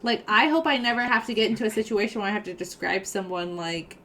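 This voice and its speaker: A woman's voice: mid-range voice, steady tone, fast talker, crowd noise. A young adult woman co-hosting a casual podcast, telling rambling stories full of fillers. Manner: rambling, storytelling, many fillers. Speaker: podcast co-host. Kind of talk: casual chat.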